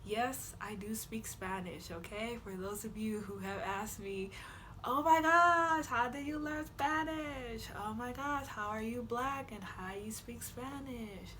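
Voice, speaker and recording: A woman's voice: a little high, measured pace, sharp tone, a little hiss or hum. A young woman from Honduras vlogging casually to camera in her room, chatty, with many fillers and frequent laughs. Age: young adult. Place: bedroom with a flag behind her.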